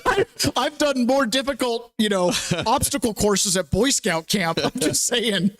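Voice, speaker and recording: A man's voice: very high voice, unhurried, a bit bright. A man hosting a casual political talk show, joking and sardonic, laughing often. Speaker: show host.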